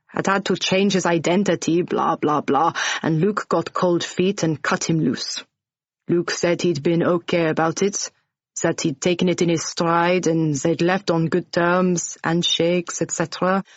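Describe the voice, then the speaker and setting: A woman voicing a genial wealthy bar owner in his sixties with a soft French accent. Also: fairly low pitch, steady tone, a little slow, no room sound, muffled recording. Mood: dismissive. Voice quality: soft-spoken.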